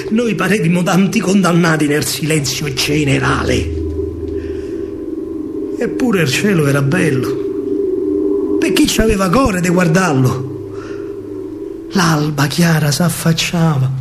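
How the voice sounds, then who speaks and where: A man with a Roman accent performing a dramatic verse monologue on stage as an emotive, theatrical storyteller. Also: a little high. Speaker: solo stage actor and storyteller. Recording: live performance with faint background noise.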